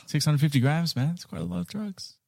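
A man's voice: fairly high pitch, normal speed, creaky, darkish tone, no room sound, clean studio sound. A man co-hosting a podcast, laid-back and swearing casually. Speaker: podcast co-host. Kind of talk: casual chat. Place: home studio, ground floor.

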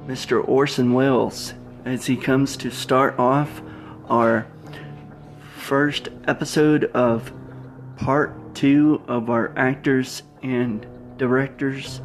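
A man hosting a podcast, giving a casual outro in a halting, phrase-by-phrase manner. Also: medium pitch, steady tone, faint music behind. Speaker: podcast host.

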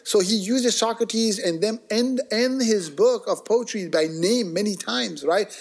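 A man in casual conversation, telling stories in an animated, discursive way, full of restarts and acted-out quotes. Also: high voice, measured pace, gravelly.

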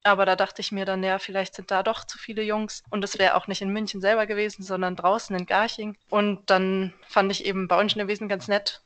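A young woman from Germany, a civil engineer answering interview questions in a relaxed, thoughtful way with many small fillers. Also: mid-range voice, fairly quick, hardly any pauses. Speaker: podcast guest, civil engineer.

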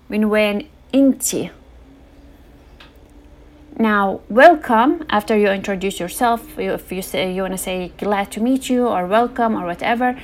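Woman, podcast host, casual language lesson, slow repeat-after-me drilling, native English speaker. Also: medium pitch.

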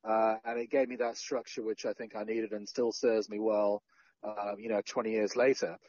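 A man in his forties, raised in Sri Lanka and North Wales, talking about his career as a guest in a podcast interview. He speaks in a relaxed, self-deprecating way with joking asides. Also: on the low side, normal speed, dry recording, lo-fi sound. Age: 40s. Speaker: podcast guest, journalist and founder. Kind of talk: casual interview conversation.

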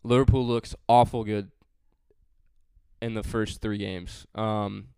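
A man's voice: on the low side, flat delivery, slow, a little mellow, clean tone, no room sound. A man hosting a casual sports podcast, chatty and joking, with frequent fillers. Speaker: podcast host.

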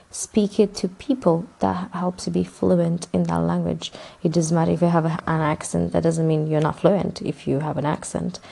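A woman, answering interview questions in a relaxed, rambling way with fillers. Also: lowish voice, vocal fry, slightly rough. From Romania. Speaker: interview guest.

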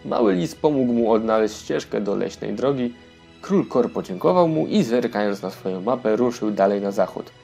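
A man's voice: on the low side, expressive, normal speed, vocal fry, dark tone, soft background music. A man narrating a scripted fairy tale for children as the storyteller of an audio play.

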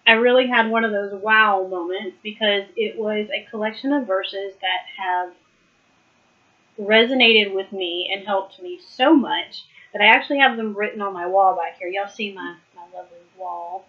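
A woman hosting a live Bible study in a casual, chatty way, a bit hoarse and losing her voice, laughing often. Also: medium pitch, moderate pace, highs cut off. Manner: chatty, laughs often, warm southern "y'all" address.

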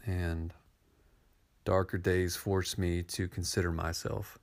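Deep-voiced man, slow and reflective. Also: level tone, gravelly. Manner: slow, thoughtful, folksy, with pauses and uhs. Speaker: contributor sharing reflections. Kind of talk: reflective monologue.

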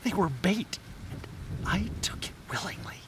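An actor playing a troubled, earnest anthropologist in an audio drama, recorded with rain and noise behind him. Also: male voice, high-pitched, expressive, a little slow. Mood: haunted, grave. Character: troubled, scatterbrained male anthropologist. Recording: clear background noise with rain. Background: rain and thunder.

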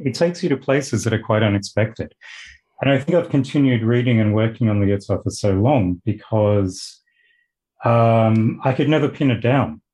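A man with an Australian accent, a philosophy lecturer speaking as a guest in a casual interview, thoughtful and measured with occasional fillers. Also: lowish voice, darkish tone, poor audio.

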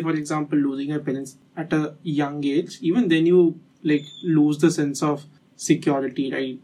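A young man in a casual discussion who reflects out loud, weighing each point and filling pauses with "like". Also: a little high. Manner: reflective, thinks aloud with many "like" fillers. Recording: voice a bit distant from the mic.

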